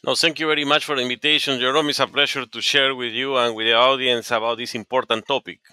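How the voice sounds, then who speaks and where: Earnest Peruvian-accented man, bright smooth voice. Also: mid-range voice, flat delivery, no room sound. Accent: Peruvian Spanish. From Peru. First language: Spanish. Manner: earnest, halting with frequent fillers and restarts. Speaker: oncologist guest. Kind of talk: podcast interview.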